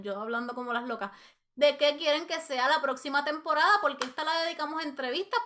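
A woman's voice: high-pitched, pushed and bright. A woman hosting a podcast, chatting casually and playfully, talking straight to listeners. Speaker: podcast host.

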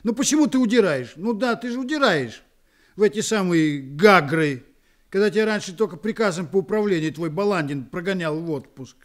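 An actor playing a detective's blunt, excitable male friend in a radio play, badgering and emphatic. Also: high-pitched, fairly quick. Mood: exasperated. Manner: badgering, emphatic, rhetorical questioning. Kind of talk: Russian radio play acting.

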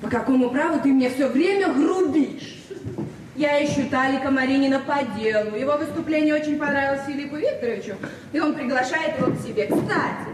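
A woman's voice: high-pitched, fairly quick, natural voice. An actress playing a needy, meddling, self-important woman who wheedles and lectures in a Soviet radio drama. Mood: prying, touchy. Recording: noisy, distant, echoey old radio recording.

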